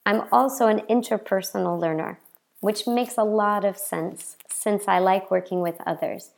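A woman from the American South with a Southern accent, introducing herself as a language teacher in a friendly, measured podcast talk. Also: mid-range voice, gravelly, darkish tone. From Southern United States. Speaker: English teacher. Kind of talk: scripted podcast self-introduction.

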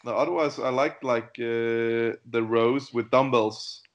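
Slow, halting man from Denmark. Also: medium pitch, fairly even intonation. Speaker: guest powerlifter. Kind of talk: casual interview chat.

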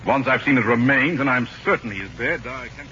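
A man's voice: mid-range voice, fairly quick, lightly acted. A man acting in a 1940s radio comedy as a scheming, fawning courtier with formal, melodramatic delivery. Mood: sinister. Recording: muffled, faintly noisy 1940s radio broadcast.

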